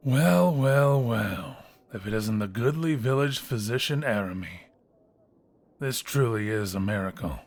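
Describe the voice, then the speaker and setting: A man's voice: medium pitch, expressive, a little slow. A man playing a smug, scheming adventurers' guildmaster in a fantasy audio drama, theatrical and taunting with mock courtesy. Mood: smug, amused.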